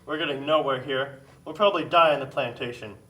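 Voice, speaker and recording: A man's voice: mid-range voice, brisk, sharp tone, recorded from a distance, lightly acted, poor audio. A man playing a determined young son in a puppet show, earnest and resolute, recorded a little away from the mic. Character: determined young son leaving a poor plantation family. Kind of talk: scripted puppet show acting.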